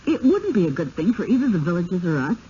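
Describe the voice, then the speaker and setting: An eager, agreeable wife in a 1970s radio play. Her voice is mellow and moves freely up and down as she soothes and gently persuades. The broadcast is dull, with faint hiss. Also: lowish voice, sing-song, quick pace. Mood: pleasant, reassuring. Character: agreeable wife, eager and placating, keen to settle. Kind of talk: 1970s radio drama acting.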